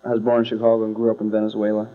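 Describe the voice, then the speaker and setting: A young man guest in a band interview, joking with a straight face and pausing with many uhs, on a handheld mic. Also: on the low side. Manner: deadpan joking, many "uh" pauses. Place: small room with padded curtain backdrop. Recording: tinny lo-fi late-70s video sound with mains hum.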